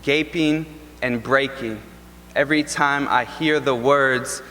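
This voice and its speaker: A man's voice: mid-range voice, fairly even intonation, pushed and bright, slight background noise. Man performing spoken word in a reverberant ballroom. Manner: rhythmic, deliberate, impassioned delivery. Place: large hotel ballroom. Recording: long reverb from a large ballroom.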